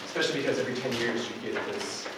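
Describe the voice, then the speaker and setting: A man in his forties from Buffalo, New York, giving a relaxed public lecture as a professor, with frequent ums and restarts. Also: medium pitch, racing. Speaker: university professor. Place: campus lecture hall. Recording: echoey hall from far off with noise.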